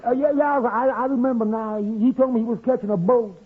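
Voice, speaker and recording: A very high-pitched stuttering barber. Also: male voice, steady tone, fairly quick, breathy edge. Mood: muddled. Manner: stuttering, self-correcting, scatterbrained. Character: flustered, stuttering barber who keeps muddling his words. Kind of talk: 1950s radio comedy acting. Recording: tinny vintage radio recording.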